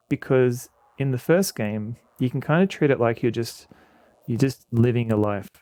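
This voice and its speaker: A man's voice: mid-range voice, darkish tone, dry recording. Rambling male game streamer. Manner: rambling, frequent restarts and fillers. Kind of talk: casual chat with viewers while playing a game.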